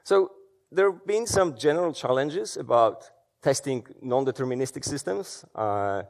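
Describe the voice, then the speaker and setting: A man's voice: mid-range voice, lively intonation, normal speed, vocal fry. A man, giving a casual conference talk in a large auditorium with plenty of fillers and restarts. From Poland. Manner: conversational, many fillers and restarts. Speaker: security conference speaker. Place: large dark auditorium stage.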